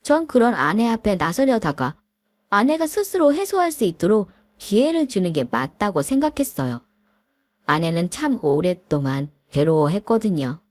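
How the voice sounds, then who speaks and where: A woman voicing a middle-aged husband who calmly retells how his wife fought an old classmate, in polite storybook narration. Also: medium pitch, dry recording. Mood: recounting. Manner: measured, recounting in polite speech.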